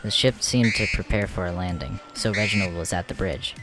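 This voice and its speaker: A man's voice: sits low, brisk. A man narrating a comic dub in a dramatic scripted storytelling style. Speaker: narrator and voice actor. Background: music.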